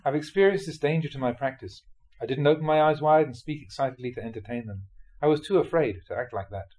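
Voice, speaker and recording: Measured man reading Buddhist teachings aloud. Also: medium pitch, a little mellow. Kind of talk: scripted audiobook-style reading of dharma talks.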